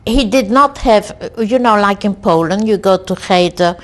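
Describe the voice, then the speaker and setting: A woman in her sixties from Belgium, recalling her family in an oral history interview, slow and reflective with frequent hesitations. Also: mid-range voice. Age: 60s. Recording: archival 1990s interview tape.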